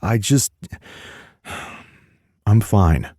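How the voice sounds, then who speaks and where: A man's voice: on the low side, expressive, drawn-out words, dark tone, no room sound, studio quality, voice pushed up, exaggerated voice. A man voicing a joking, guarded young college man. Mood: bantering. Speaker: college student.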